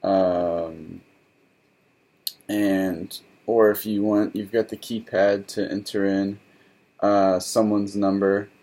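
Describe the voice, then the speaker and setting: A man giving a casual tutorial in a hesitant, rambling, halting way. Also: fairly low pitch, flat delivery, warm tone, rough recording. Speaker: tutorial host. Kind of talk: casual tutorial talk to camera.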